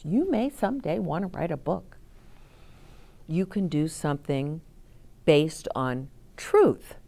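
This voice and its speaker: A woman hosts a children's story time in a slightly low voice that swings widely up and down. She takes her time, speaking slowly and expressively as she reads aloud. She explains things in simple words and puts questions to her young listeners. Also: darkish tone.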